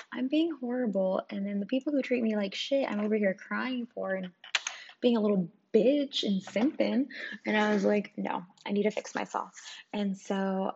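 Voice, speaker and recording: A young woman hosting a casual solo podcast with a croaky, hoarse voice, talking candidly with lots of swearing and "like" fillers. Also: mid-range voice, darkish tone. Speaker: podcast host. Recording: dull lo-fi sound with clear noise.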